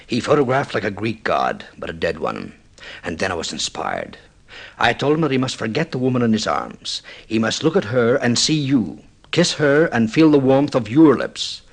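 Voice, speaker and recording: An actor playing a middle-aged film director, intense and mournfully insistent, with theatrical, deliberate delivery in vintage radio drama style. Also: male voice, fairly low pitch, creaky, full character voice.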